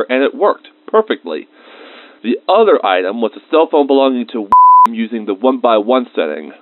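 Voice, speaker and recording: A thin, band-limited and very dry recording carries a man acting as an anxious male research scientist. He has a mid-pitched voice and dictates his lab log confidingly, as in a dramatized audio diary. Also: no room sound, phone-quality sound, natural voice. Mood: fascinated, enthusiastic. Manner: confiding, dictated log entries with emotional asides.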